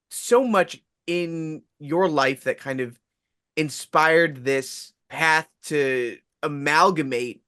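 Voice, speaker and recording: Man host, slightly high voice, dry close mic; articulate and reflective. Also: sharp tone, dry recording. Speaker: podcast host. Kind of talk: casual interview.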